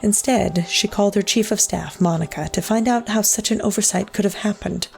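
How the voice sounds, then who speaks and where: An actress playing a solemn storyteller, narrating a scripted dramatic tale in a measured, literary way. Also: female voice, mid-range voice, creaky, a little mellow, soft background music, lightly acted. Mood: somber. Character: solemn female storyteller of a sad ghost tale.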